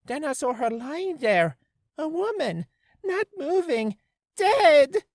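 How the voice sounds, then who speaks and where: A man voicing an earnest, uneasy male witness who recounts in a measured, dramatic way for a game reel. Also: very high-pitched, sing-song, dry recording, studio quality, voice pushed up, heavily acted. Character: earnest, shaken male witness giving testimony. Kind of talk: character voice reel, game acting.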